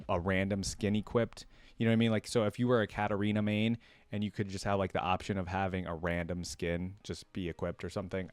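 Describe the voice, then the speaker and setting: A man's voice: low-pitched, dry recording. A man hosting a reaction video in a casual, chatty way, reading aloud and adding his own musing asides. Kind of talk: casual commentary, reading aloud from wiki trivia.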